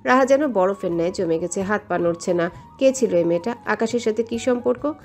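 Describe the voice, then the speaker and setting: A woman's voice: mid-range voice, a little fast, darkish tone. A woman reading a scripted romantic story as its narrator. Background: background music.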